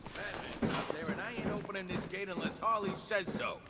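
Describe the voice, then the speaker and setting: An actor playing a stubborn, nervy henchman in a video game, talking rough and blunt. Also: high voice, brisk, heavy background noise, lightly acted. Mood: defiant. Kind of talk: video game cutscene acting.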